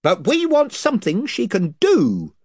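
A self-important chief of invisible creatures who rambles, circles back and forgets where he was, as a man reading the story puts him on. His voice is pitched above his plain one, husky and very lively. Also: measured pace, dry recording, studio quality, exaggerated voice. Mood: smug. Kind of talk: audiobook character voice.

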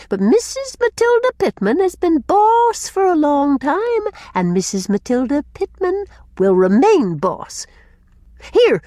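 Lady doing a bossy old mother-in-law from a storybook, high voice, swoops all over, scolding and resolute. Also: no room sound, clean studio sound. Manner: authoritative, scolding, emphatic. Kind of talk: audiobook character voice.

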